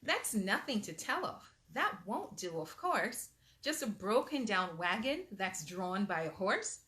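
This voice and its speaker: A woman's voice: medium pitch, measured pace, slight background noise. A woman hosting a storytime, reading a picture book aloud expressively to children with patient, motherly asides. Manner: animated, patient parental asides.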